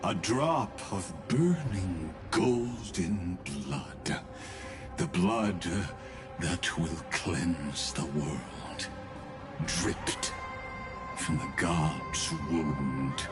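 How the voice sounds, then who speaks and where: An actor playing a solemn, world-weary immortal in a video game, speaking in a measured, grave, poetic way. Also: male voice, low voice, animated, music in the background, full character voice. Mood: solemn, reverent. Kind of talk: dramatic video game dialogue.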